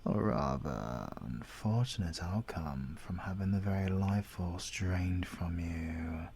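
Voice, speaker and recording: A British man playing a menacing, pompous male supervillain in an audio roleplay, with a breathy voice that often drops to a whisper. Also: deep voice, a little mellow, slight background noise. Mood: smug, threatening. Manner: slow, deliberate, pompous and threatening. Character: menacing, mysterious male supervillain who drains life force. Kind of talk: ASMR audio roleplay monologue.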